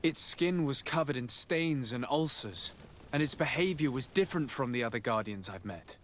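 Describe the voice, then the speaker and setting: A man voicing a polite, resolute noble envoy in a video game, speaking in a formal, measured way. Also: medium pitch, expressive, a bit bright, a little hiss or hum, natural voice. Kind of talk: video game cutscene acting.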